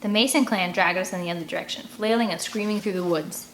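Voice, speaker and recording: A woman reading an audiobook aloud as host, with expressive narration and casual delivery. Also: medium pitch, fast, a little hiss or hum, rough recording.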